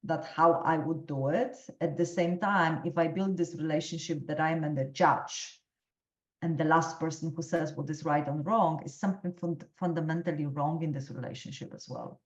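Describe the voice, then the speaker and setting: Woman supervisor, very low voice, roomy lo-fi sound, hesitant. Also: fairly even intonation, moderate pace. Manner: hesitant, thinking aloud with restarts. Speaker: coaching supervisor in discussion. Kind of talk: reflective discussion.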